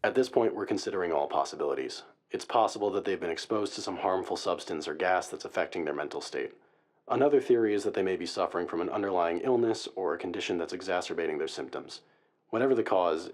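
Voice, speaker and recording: A man's voice: sits low, fast, a little mellow. A man playing a serious, guarded crisis negotiator in an audio-drama radio interview, speaking formally and analytically. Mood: measured, concerned. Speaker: guest expert, hostage negotiator.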